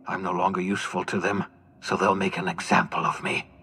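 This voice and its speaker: Broken old fugitive scientist in a video game, defiant n despairing, very dramatic, some noise behind him. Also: male voice, low-pitched, monotone, brisk, gravelly, bright, forceful tone, exaggerated voice. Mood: bitter, defiant. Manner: theatrical, anguished, swinging from bitter to pleading.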